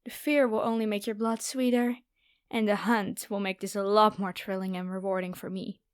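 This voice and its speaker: A woman's voice: mid-range voice, little pitch movement, normal speed, smooth, no echo, studio quality, close to an everyday voice. A woman playing a haughty, predatory noble vampire girl in an ASMR roleplay, with a taunting, playful, theatrical delivery.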